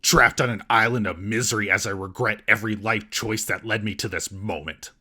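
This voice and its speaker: Sarcastic man with husky, forceful voice. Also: lowish voice, fairly even intonation, fairly quick. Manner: sarcastic, mocking. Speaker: comedic film reviewer and host. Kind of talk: scripted comedic recap narration.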